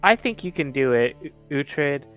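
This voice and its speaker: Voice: medium pitch, expressive, moderate pace. A player in a tabletop roleplaying game, chatting casually in character. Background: faint music.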